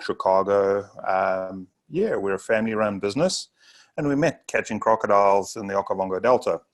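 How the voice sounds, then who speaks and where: A South African man, a Zulu first-language speaker, presenting a webinar as a safari tour operator in a loose, rambling way with frequent asides. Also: lowish voice.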